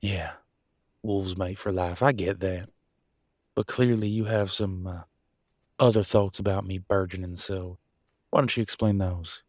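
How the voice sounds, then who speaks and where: A man's voice: deep voice, fairly even intonation, unhurried, pauses often, no echo, clean studio sound. A man playing a proud, wounded werewolf husband and country vet in a roleplay audio drama, with a drawl and a folksy, bitter, raw way of talking. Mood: bitter, sarcastic. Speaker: voice actor. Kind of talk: dramatic roleplay audio, one side of a couple's argument.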